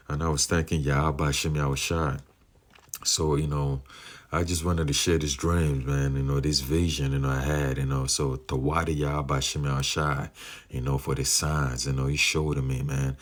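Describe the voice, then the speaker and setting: Very deep-voiced man recounting dreams. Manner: earnest, many fillers, slow drawn-out phrasing. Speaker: believer sharing dream visions. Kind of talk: rambling personal testimony.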